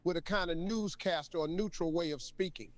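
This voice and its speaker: A man's voice: high-pitched, brisk, no room sound. A man from Chicago with a Midwestern American accent, answering questions politely in long, explanatory replies as an AI chat companion. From Chicago, Illinois. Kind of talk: conversational AI answers. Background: loud game music.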